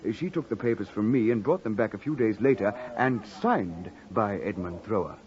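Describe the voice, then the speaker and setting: A genial English gentleman agent, played by a man in a 1950s radio play. His speech is mild and hesitant, with pitch rising and dipping freely. The old recording sounds dull. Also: expressive, moderate pace, close to an everyday voice. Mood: informative. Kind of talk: 1950s radio drama acting.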